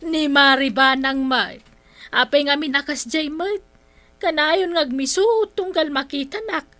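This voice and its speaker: A woman's voice: high voice, fairly even intonation, slow, pushed and bright, no room sound, full character voice. A woman with an Ilocano accent playing a cheerful, teasing village councillor in comic drama. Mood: playful, reassuring. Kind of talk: broad comic drama acting.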